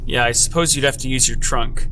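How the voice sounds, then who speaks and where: A woman's voice: a bass voice, fairly quick, a bit bright, faint music behind, low-quality recording. A woman co-host in scripted, conversational chat with a puppet on a children's show.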